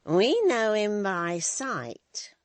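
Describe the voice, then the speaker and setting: Reader doing a slow, coaxing old woman. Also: mid-range voice, wildly swooping pitch, gravelly, no room sound, heavily acted. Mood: slow, wheedling. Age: older. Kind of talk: audiobook character voice.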